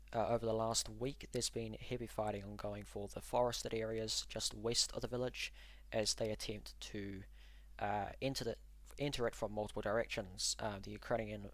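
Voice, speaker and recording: A man hosting a live stream, walking through frontline maps and answering chat in an analytical, measured way with frequent ums and uhs. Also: fairly low pitch, a bit bright, no echo. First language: English. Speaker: livestream host and war mapper.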